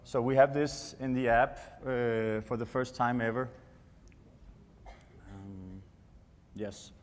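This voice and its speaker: A man's voice: medium pitch, steady tone, slow pace. A Danish man with a Danish accent giving a live product presentation in a hesitant, explanatory way. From Denmark. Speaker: tech presenter. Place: large indoor conference stage. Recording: echoey hall PA reverb.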